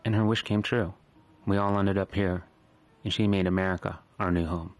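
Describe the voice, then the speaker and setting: A young man narrating a documentary in a calm, reflective, questioning way. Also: deep voice, moderate pace. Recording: voice-over with loud music.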